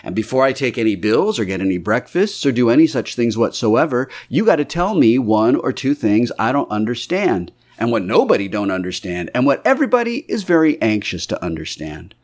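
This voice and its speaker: A man voicing an indignant, sharp-tongued middle-aged landlady who scolds in rustic dialect. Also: medium pitch, pitched above the performer's own voice.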